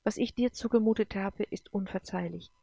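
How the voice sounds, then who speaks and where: A woman voicing a sorrowful, guilt-ridden woman in love in an audiobook, soft, earnest and emotional. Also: medium pitch, normal speed, vocal fry, no room sound. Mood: tearful, remorseful.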